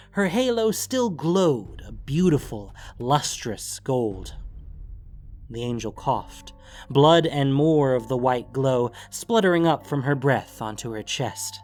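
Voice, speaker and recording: A man reading scripted story narration with dramatic pauses and deliberate phrasing. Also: mid-range voice, animated. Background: music.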